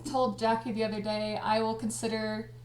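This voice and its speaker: Giggly, higher-voiced woman in an echoey room. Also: normal speed, a little hiss or hum. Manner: giggly, excitable, frequently breaking into laughter. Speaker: audio drama creator and cast party host. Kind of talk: casual livestream chat. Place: indoors on a group livestream call.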